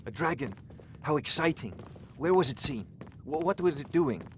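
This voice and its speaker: A man's voice: fairly high pitch, expressive, moderate pace, slight background noise, close to an everyday voice. A man playing an eager, curious court scholar-wizard in a fantasy video game. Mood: excited curiosity. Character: excitable scholarly male court wizard fascinated by dragons.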